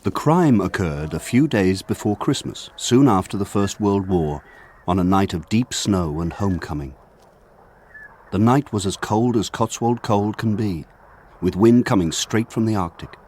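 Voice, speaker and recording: An actor playing a grown man looking back on his village childhood, narrating in a measured, lyrical way. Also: fairly low pitch, expressive, a little mellow, a little hiss or hum. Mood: evocative. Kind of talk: scripted literary narration for radio drama.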